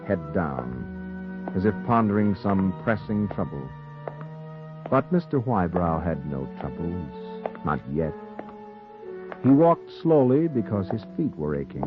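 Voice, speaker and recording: Low-voiced haunted noir newspaper man. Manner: brooding, deliberate first-person storytelling. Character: haunted newspaper reporter, secretly a killer, narrating a noir mystery. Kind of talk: 1940s noir radio drama narration. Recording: muffled 1940s radio recording, music behind.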